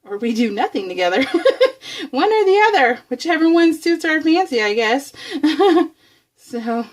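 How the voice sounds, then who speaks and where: A woman's voice: sits high, big pitch swings, measured pace. A woman chatting casually and bubbly as a craft vlogger, rambling along with a giggly manner. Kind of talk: casual chat while showing scrapbook layouts. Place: indoors, room at home.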